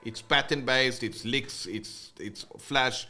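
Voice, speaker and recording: Casual South African male guitar teacher, bright tone, loose and rambling on a livestream. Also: medium pitch, slow pace. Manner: loose and rambling, many fillers and restarts.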